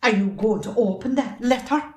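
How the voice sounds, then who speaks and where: A woman's voice: on the high side, normal speed, natural voice. A woman with an Irish accent playing a long-suffering, fiery middle-aged wife and mother in an amateur drama. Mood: angry, threatening. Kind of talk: amateur stage drama acting.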